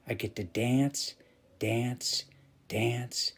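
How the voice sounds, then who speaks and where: A man's voice: medium pitch, steady tone, drawn-out words, a bit bright, slightly rough, heavily acted. Man acting out toll booth dreamer, exuberant, emphatic, storytelling dialogue, savored repetitions. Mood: content, upbeat. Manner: emphatic, repeats words with relish.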